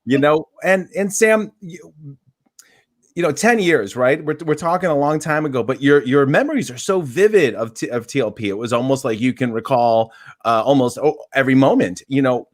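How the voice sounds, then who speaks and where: Halting man hosting an interview. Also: mid-range voice, animated. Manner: halting, many restarts and fillers. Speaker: interview host for the theater. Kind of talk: casual interview.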